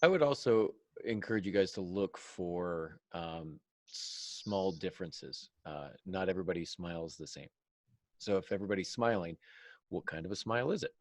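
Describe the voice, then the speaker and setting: A man's voice: deep voice, animated, normal speed, no echo. A man hosting a podcast panel in an informal, casual discussion style. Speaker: podcast host.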